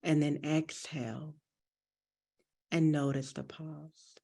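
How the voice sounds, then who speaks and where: A woman guides a breathing meditation in a mellow, husky voice with some fry. She speaks gently and deliberately, giving soothing instructions at an unhurried pace. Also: deep voice, flat delivery. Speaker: meditation teacher.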